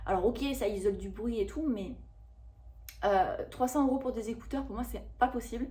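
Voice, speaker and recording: A woman in her thirties chatting casually to camera about a shopping haul, rambling and expressive with lots of fillers. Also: on the high side, brisk. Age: 30s. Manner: chatty and rambling, with frequent fillers and self-corrections. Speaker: vlogger reviewing a shopping haul.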